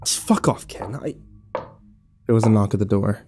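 Man acting sullen male patient, mellow dark voice, sardonic, weary, horror audio drama narration, faint music behind. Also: lowish voice, normal speed, close to an everyday voice. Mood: sullen, bitter. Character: weary, irritable male hospital patient telling his story. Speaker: narrator and lead voice actor.

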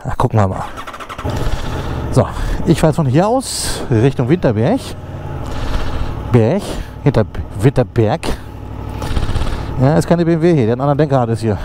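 A man's voice: mid-range voice, expressive, normal speed, pauses often. A man rambling casually to the camera while riding a motorcycle, with plenty of fillers. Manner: chatty, rambling, many fillers. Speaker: motorcycle vlogger. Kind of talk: casual ride commentary. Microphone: helmet intercom mic. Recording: loud wind and engine noise on helmet mic. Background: road and vehicle noise.

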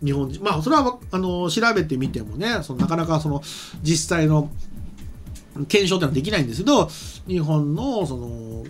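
A middle-aged man giving casual explanatory commentary as a show co-host, haltingly and with frequent self-corrections. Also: on the high side, big pitch swings. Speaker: commentator and co-host, anime and game writer. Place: small studio set, indoors. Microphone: desk microphone. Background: background music.

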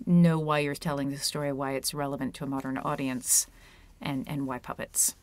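A woman's voice: a bass voice, flat delivery, normal speed, dry recording. A woman in her thirties, an author and puppeteer, chatting as an interview guest over a video call with an earphone mic, talking in a loose, self-deprecating way full of fillers. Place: home room with a red curtain. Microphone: wired earphone mic on a video call.

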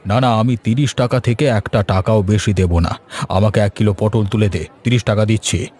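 A man's voice: fairly low pitch, fairly quick, darkish tone, close to an everyday voice. Cartoon casting: male actor as older villager, grumbling haggler and homely husband, insistent, colloquial. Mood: grumbling, stubborn. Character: grumbling older village man, husband and bargain-hunting customer. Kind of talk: animated cartoon story dialogue.

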